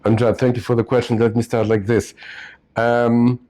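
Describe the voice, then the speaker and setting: A Ukrainian-born man, an investor and lawyer, answering interview questions in an office with a halting delivery full of restarts. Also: medium pitch, level tone, dark tone. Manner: halting, many restarts and fillers. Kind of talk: casual podcast interview.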